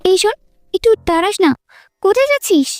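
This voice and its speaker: A woman playing a sweet, shy young village woman in love in an audio romance drama, soft and pleading. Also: very high voice, animated, fairly quick. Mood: puzzled, anxious.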